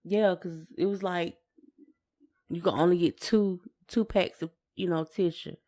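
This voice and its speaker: A woman's voice: lowish voice, fairly even intonation, a little slow, mellow. An American woman co-hosting a podcast, talking casually and colloquially, repeating words for emphasis. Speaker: podcast co-host.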